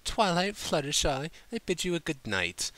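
A man voicing a prim, fastidious female unicorn pony in a cartoon fan story, speaking firmly and properly. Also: fairly high pitch, sing-song, a bit bright, voice pushed up. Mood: firm, polite.

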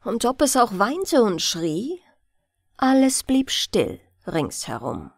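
A woman reading a fairy tale as a bedtime audiobook, in an expressive storytelling manner. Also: a little high, slow pace, vocal fry, dry recording, clean studio sound. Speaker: audiobook reader.

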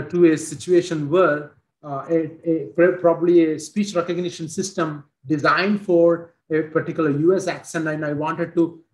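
Indian man giving a halting tech demo. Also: a little high. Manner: halting, many fillers and restarts. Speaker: presenter. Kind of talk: technical product demo over video call. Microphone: headset. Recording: roomy video call audio.

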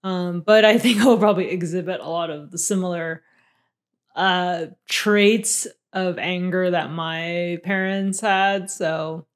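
A young woman co-hosting a podcast in a small room, talking in a relaxed, reflective way and laughing often, close to a studio microphone. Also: lowish voice, slow pace. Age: young adult. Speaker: podcast co-host. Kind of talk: casual chat. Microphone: boom mic on stand.

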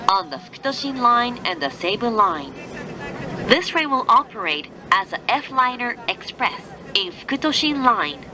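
A woman reading scripted train announcements in a formal, measured, carefully enunciated manner. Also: fairly high pitch, sing-song. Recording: train announcement with faint vehicle noise. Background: moving train noise.

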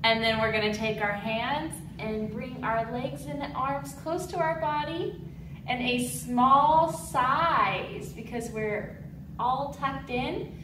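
A woman in her thirties teaching a dance lesson to children, with an animated, encouraging, clearly enunciated delivery. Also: on the high side, slow pace, noisy background. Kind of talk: instructional video lesson for children. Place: large mirrored dance studio indoors.

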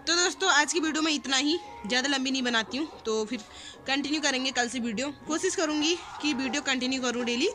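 A woman's voice: sits high, fast, sharp tone, faint music behind. A young woman from the northern Indian hills, chatting casually to her phone camera outdoors as a home vlogger. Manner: chatty, homely, addresses viewers as friends. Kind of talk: casual vlog talk to camera. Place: rooftop outdoors in hill town. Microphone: phone camera at arm's length.

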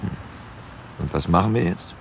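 A man's voice: low-pitched, normal speed, gravelly. An actor playing an eager, ambitious male police officer in an audio drama, casual and bold. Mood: wary. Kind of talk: German audio drama acting. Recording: vehicle noise behind.

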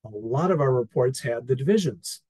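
A man presenting an experience report over slides on a video call, talking in a relaxed, wry way with fillers and restarts. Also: mid-range voice, moderate pace, slightly rough, no room sound. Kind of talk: conversational talk over slides.

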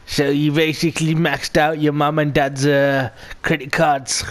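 A man voicing an eighty-one-year-old pensioner who talks in slow, halting, stammering bursts over a game chat. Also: on the high side, little pitch movement, lightly acted. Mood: doddering. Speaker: gamer pranking on voice chat.

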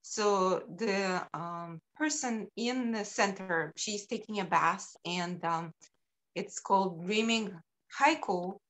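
Slow-paced woman from Ukraine presenting. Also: mid-range voice, fairly bright tone, poor audio. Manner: unhurried, halting with frequent ums. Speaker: sculptor presenting her work. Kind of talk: slide presentation on a video call. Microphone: video call.

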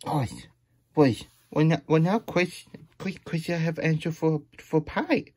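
A man voicing an excitable, childlike hedgehog plush who reads a picture book and adds chatty asides in broken, third-person grammar, husky and breathy. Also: fairly high pitch, measured pace. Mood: excited, rambling. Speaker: storytime host. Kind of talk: character read-aloud with chatty asides. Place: small room indoors at a table.

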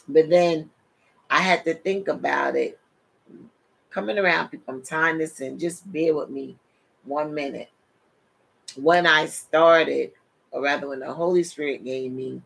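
Animated New York woman preaching, gravelly. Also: rumbling low, rough recording. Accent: New York with Caribbean touches. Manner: animated, addresses listeners as "people", gestures with hands. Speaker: preacher and show host. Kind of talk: sermon-style testimony. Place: home office, seated in desk chair.

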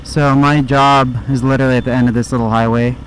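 Young American male motovlogger, casual and slangy, average pitch, helmet mic with clear motorcycle noise behind. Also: flat delivery, fast talker, rough recording. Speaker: motorcycle vlogger. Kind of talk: casual vlog chat while riding. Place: outdoors, in a motorcycle helmet on the road. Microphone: microphone inside helmet. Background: motorcycle engine and traffic.

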